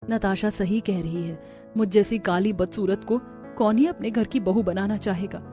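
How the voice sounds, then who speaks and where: Gentle, humble young sister for a cartoon voiced by a woman: soft, patient, pleading. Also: mid-range voice, moderate pace, soft background music, natural voice. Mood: sad. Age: young adult. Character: gentle, meek, self-deprecating young dark-skinned sister. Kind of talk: Hindi cartoon story acting.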